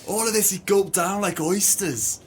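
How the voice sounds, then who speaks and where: A man's voice: sits high, moderate pace, slight background noise, close to an everyday voice. A man playing a bitter, scheming swindler in an audio play, with theatrical, grandiloquent speech. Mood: despairing outrage. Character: bitter, scheming male swindler, eloquently exasperated.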